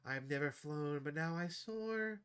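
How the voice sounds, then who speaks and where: Man voicing an eerie, high-pitched possessed chicken. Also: lively intonation, measured pace, a bit bright, clear, resonant tone. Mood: eerie rapture. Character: sick, uncanny chicken, eerily ecstatic and menacing.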